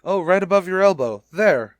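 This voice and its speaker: Earnest, bashful bachelor. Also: male voice, high voice, lively intonation, moderate pace, no echo. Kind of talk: 1940s-50s radio sitcom acting.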